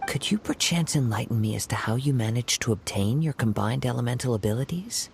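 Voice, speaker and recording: A soft-spoken poetic wandering samurai. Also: male voice, medium pitch, animated, gravelly, slight background noise. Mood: musing. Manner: soft-spoken, formal, measured, often whispery. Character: calm young male wandering samurai, poetic and courteous. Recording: game cutscene with music behind.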